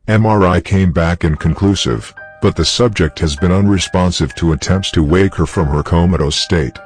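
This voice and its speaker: A man narrating a scripted horror story as a series of clinical reports, in a formal and measured manner. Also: low voice, no room sound.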